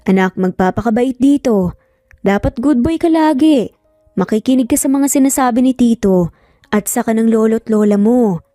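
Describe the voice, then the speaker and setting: A woman's voice: a little high, normal speed, warm tone, no echo, clean studio sound, soft background music, lightly acted. Woman as a teasing, cocky young father. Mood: gentle reminding. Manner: teasing, nonchalant.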